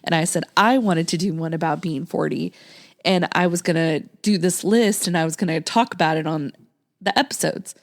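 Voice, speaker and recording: A woman's voice: fairly low pitch, moderate pace. Chatty late-thirties woman from Texas. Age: late 30s. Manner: chatty, laughs often, many ums. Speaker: podcast host. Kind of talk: casual chat.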